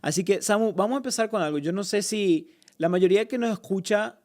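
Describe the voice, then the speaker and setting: A man's voice: high voice, a little fast, a little mellow. A man hosting a faith podcast, upbeat, friendly and playful as he welcomes his guest. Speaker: podcast host.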